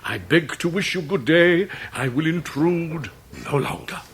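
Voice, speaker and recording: An actor playing a grandiloquent, middle-aged British gentleman, long-winded and florid, in a radio drama. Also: on the high side, lively intonation, measured pace, a little hiss or hum. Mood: pompous, courteous. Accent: British English. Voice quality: theatrical, orotund delivery.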